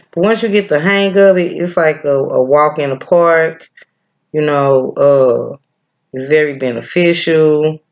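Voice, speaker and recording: A man with a Midwestern accent giving a casual breathing lesson, laid-back and chatty, often pausing with fillers as he searches for a name. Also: medium pitch, vocal fry. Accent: Midwestern American. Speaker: breathwork and yoga teacher. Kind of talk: casual spiritual teaching, rambling.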